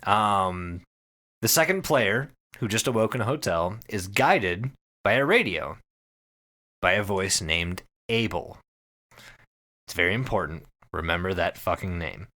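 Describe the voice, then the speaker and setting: A man's voice: deep voice, expressive, unhurried, pushed and bright. A man hosting a podcast, telling a story in casual, emphatic chat with plenty of swearing. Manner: profane, emphatic, repeats phrases for effect.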